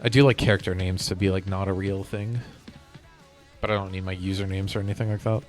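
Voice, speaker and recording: A man's voice: low voice, fairly even intonation, fast talker, faint music behind. Casual, rambling male game streamer. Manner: rambling, hops between thoughts. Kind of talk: casual live-stream gaming chat.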